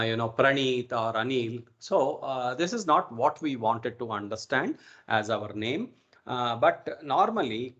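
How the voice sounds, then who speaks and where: A man's voice: mid-range voice, a bit bright, lo-fi sound. A man with an Indian accent giving an informal lecture as an instructor on an online call, often checking in with okay and right. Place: online video call recording.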